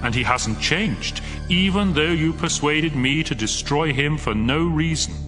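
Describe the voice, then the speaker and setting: An actor playing a commanding, assured divine being with a measured, authoritative manner in a dramatized audio Bible. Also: male voice, fairly high pitch, lively intonation, over music.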